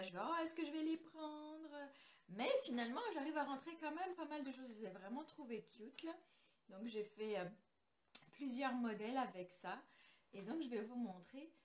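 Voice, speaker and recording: A rather high-voiced woman from France runs a live craft tutorial from her home studio. She talks loosely and chattily, greeting viewers by name as she goes, with a faint hiss behind her on a computer mic. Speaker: craft demonstrator hosting a live stream. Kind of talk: casual live tutorial chat. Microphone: computer camera mic.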